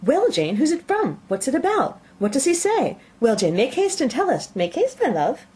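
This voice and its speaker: Fussy, scheming storybook matron, performed by a female reader, raised pitch, swooping and exclamatory. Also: wildly swooping pitch, normal speed, a little mellow. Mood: eager, excited. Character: excitable, scheming, indulgent middle-aged English mother. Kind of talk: audiobook character reading.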